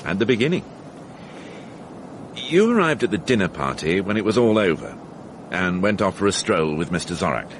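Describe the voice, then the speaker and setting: A man's voice: sits low, big pitch swings, normal speed, long pauses, noisy background. An actor playing a blunt, irritable male police inspector in a radio mystery drama, questioning people in a brusque, probing way. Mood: measured, probing.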